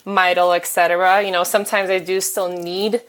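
A woman's voice: on the low side, little pitch movement, normal speed, a bit bright. Chatty woman, frank and full of fillers. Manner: frank, chatty, hesitant with many fillers, laughs often. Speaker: guest. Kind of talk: casual chat.

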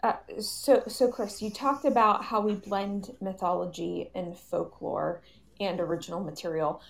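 A woman's voice: mid-range voice, fairly even intonation, unhurried, creaky, low-quality recording. A woman hosting an informal live podcast panel, explaining things in a welcoming way. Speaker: host and panel moderator.

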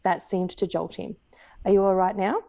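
A woman's voice: medium pitch, fairly even intonation, normal speed, gravelly, dark tone. A woman with an Australian accent, an author talking casually and reading fiction aloud as a guest.

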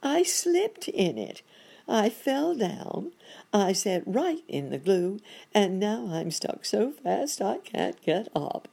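A woman's voice: medium pitch, big pitch swings, slow, gravelly, dark tone, slightly rough, voice pushed up, full character voice. A woman voicing a flustered, self-pitying male monkey doctor in a children's story, exclaiming and lamenting with wordy politeness. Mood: rueful.

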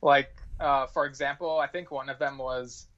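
A man's voice: medium pitch, fairly even intonation, moderate pace, a bit bright. Casual man on slightly dull lo-fi audio. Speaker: podcast guest co-host. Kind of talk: casual chat.

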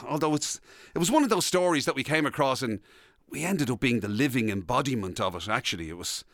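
A man's voice: medium pitch, expressive, quick pace, fairly bright tone, dry recording, clean studio sound. A middle-aged Irish man co-hosting a radio podcast, steering casual banter. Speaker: radio show co-host. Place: radio office studio.

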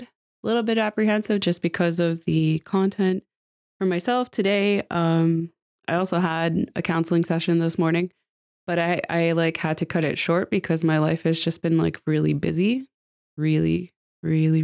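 A woman's voice: fairly low pitch, fairly even intonation, normal speed, darkish tone. A woman hosting a podcast conversation, a little nasal from a cold she is getting over, talking in a chatty, upbeat way. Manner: chatty and upbeat, with frequent fillers and light laughs. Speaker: podcast host. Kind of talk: casual group podcast chat. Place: seated at a table with guests.

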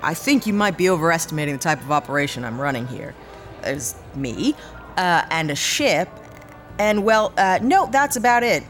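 A woman playing a wry, sceptical, hard-up spaceship pilot in a sci-fi audio drama, with sarcastic, halting asides. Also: on the low side, lively intonation, fairly bright tone, soft background music.

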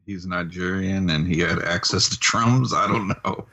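Deep, bright-edged man in casual talk. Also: moderate pace. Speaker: podcast co-host. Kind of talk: casual chat reviewing wrestling.

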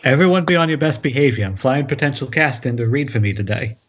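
A man's voice: medium pitch, expressive, fast talker, highs cut off, a little hiss or hum, close to an everyday voice. A man playing a blunt, erratic horror writer turned director in a comedic table read over an internet call. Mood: offhand, blunt. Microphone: remote video-call microphone.

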